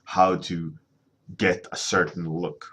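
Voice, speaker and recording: A man's voice: deep voice, flat delivery, slow pace, a bit bright, husky. A man in his thirties, a native Swedish speaker, chatting casually on a painting livestream from his home studio, rambling and restarting his sentences.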